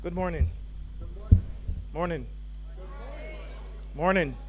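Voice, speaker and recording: A man's voice: a little high, slow pace. A man giving a welcome address to students as faculty dean, informal and joking with the audience in a lecture hall. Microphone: handheld microphone.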